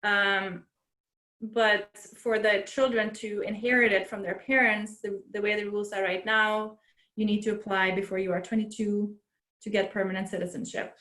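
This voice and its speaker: A woman consular officer answers questions in a relaxed, helpful way, pitched at a middle level. Her voice sits in a noticeably echoey room and comes through a video call. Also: moderate pace. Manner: relaxed, helpful, uses fillers. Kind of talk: webinar Q&A answers.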